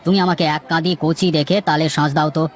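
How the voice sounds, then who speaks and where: Fast-talking, high-pitched bossy cartoon father acted by a man. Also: little pitch movement, natural voice. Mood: curious, eager. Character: middle-class village gentleman and doting father, chatty, bossy. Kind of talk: acted dialogue in a moral-story cartoon.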